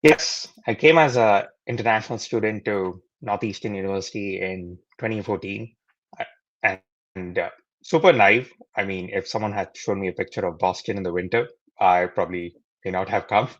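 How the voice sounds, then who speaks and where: Low-voiced man from India, dull audio. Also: normal speed. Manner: explanatory, earnest. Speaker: guest. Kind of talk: interview answers.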